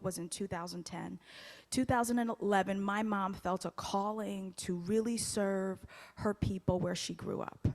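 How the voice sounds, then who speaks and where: A woman's voice: medium pitch, darkish tone. Heartfelt woman speaking in an echoey sanctuary. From United States. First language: Haitian Creole. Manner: heartfelt, storytelling, laughs often. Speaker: guest speaker. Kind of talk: personal testimony sermon. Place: church sanctuary. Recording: echoey hall, long reverb.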